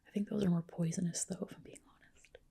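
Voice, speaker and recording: Woman, breathy ASMR whisper, soft mellow tone, creaky edges, gentle reassuring clinician roleplay. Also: fairly low pitch, fairly even intonation, fast talker. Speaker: ASMR performer playing a medical spa clinician.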